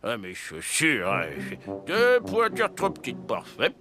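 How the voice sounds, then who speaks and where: A man's voice: a little high, wildly swooping pitch, measured pace. A man voicing a bossy, grumbling father in a French cartoon dub. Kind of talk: cartoon dubbing. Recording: music behind.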